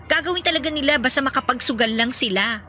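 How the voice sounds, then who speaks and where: A woman's voice: sits high, fast, sharp tone, a little hiss or hum. A woman with a Filipino accent playing a practical, caring girlfriend in radio drama dialogue, speaking frankly and persuasively. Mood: exasperated. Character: concerned, outspoken young girlfriend, practical and caring.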